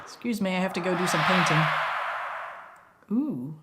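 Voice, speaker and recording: A woman's voice: on the low side, moderate pace, heavy background noise. A woman hosting a let's play, giving casual gameplay commentary in wry, offhand asides. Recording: voice over loud game music.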